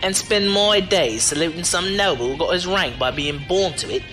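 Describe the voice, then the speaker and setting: Male actor as roguish mercenary driver, animated intonation, teasing, unflappable, slangy, audio drama, music underneath. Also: high-pitched, moderate pace. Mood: breezy, wry. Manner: breezy, teasing, folksy slang. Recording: music behind the voice.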